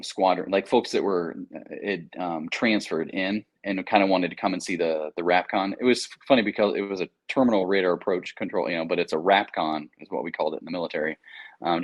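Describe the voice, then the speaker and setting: An American man talking casually in an interview, explaining things in an informal, anecdotal, slangy way. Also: sits low. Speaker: air traffic controller, interview guest.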